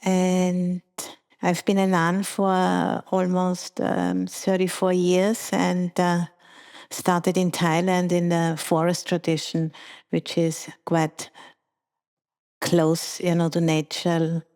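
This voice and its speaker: A middle-aged woman from Austria with an Austrian German accent, speaking as a Buddhist nun giving a dharma talk at a retreat, slow and halting, with many fillers and restarts. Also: lowish voice, completely flat delivery, a little mellow, smooth.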